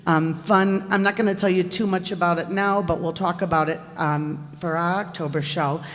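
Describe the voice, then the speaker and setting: A woman's voice: on the low side, steady tone, moderate pace, soft background music. A woman giving an informal, self-deprecating announcement to an audience as a troupe performer, speaking on stage in a hall. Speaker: radio players troupe performer announcing upcoming shows. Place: theater stage before an audience. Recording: echoey hall.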